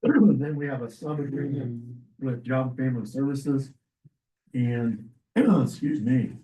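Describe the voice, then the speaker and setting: Hoarse male administrator reading agenda items, businesslike, mid-pitched, recorded far off in a roomy hall. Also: unhurried, dark tone. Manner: plain, businesslike, with fillers and throat-clearing. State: losing his voice. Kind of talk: public meeting presentation. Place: county meeting room. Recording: echoey meeting room, distant mic.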